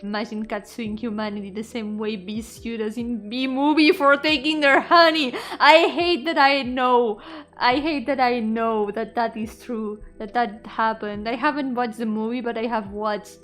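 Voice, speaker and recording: High-voiced woman streaming. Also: steady tone, moderate pace, a bit bright. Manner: playful, switches between English and Spanish. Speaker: VTuber streamer. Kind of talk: casual livestream chat with viewers. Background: music.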